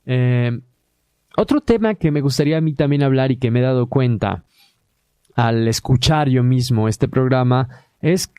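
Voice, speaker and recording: A Mexican man hosts a podcast in a relaxed, conversational way, often pausing on "eh" as he gathers his thoughts. His voice is mellow and warm, and it was recorded close and dry with no room sound. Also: mid-range voice, clear, resonant tone, dry recording, lo-fi sound. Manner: hesitant, many filler "eh" pauses. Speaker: podcast host.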